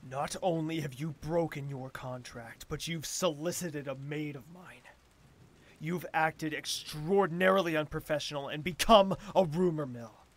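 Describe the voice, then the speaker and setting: A man acting an imperious, slow-spoken royal, creaky-voiced. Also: fairly high pitch, fairly bright tone. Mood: hurt, indignant. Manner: formal, imperious, theatrical. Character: haughty, jealous royal ruler, commanding and wounded. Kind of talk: audio drama acting.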